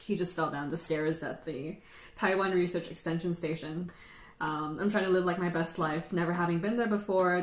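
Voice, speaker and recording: A woman's voice: mid-range voice, reverberant. A woman, a native Tagalog speaker, hosting a casual livestream and chatting with viewers in a playful, teasing, jokey way. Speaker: host of a tea tasting livestream.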